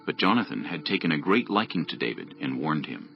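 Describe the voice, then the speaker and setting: Very deep gravelly male scripture narrator. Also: sing-song, a little fast, muffled recording, soft background music. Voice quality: gravelly, husky.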